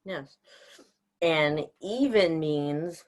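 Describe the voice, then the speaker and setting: Young male helper, very slow and deliberate, prompting with words in a lesson. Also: fairly high pitch. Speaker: guest helper reading out words.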